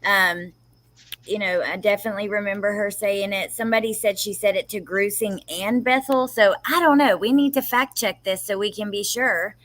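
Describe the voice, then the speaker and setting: A woman's voice: medium pitch, normal speed, a bit bright, no echo. A woman hosting a casual livestream, chatting informally and agreeably with her guests and viewers. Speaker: livestream host. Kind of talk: casual chat, reading out viewer comments.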